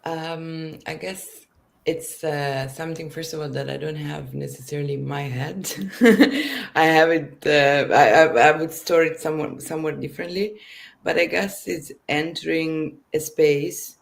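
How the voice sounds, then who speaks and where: A woman neuroscientist answering questions in a casual interview, speaking haltingly as she searches for words. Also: low voice, steady tone, gravelly. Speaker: neuroscientist guest.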